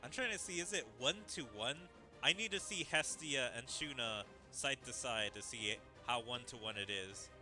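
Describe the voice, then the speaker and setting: A man's voice: mid-range voice, moderate pace, pushed and bright, faint music behind. A man giving casual gaming commentary, thinking aloud in a musing way as he follows a story. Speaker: gaming video creator.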